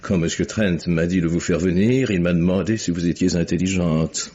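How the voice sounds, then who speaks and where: An actor playing a prim, sardonic English butler with a stiff, deadpan delivery in a vintage radio mystery. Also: male voice, deep voice, moderate pace, slightly rough. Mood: prim disapproval. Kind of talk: mid-20th-century French radio mystery acting. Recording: muffled vintage radio recording.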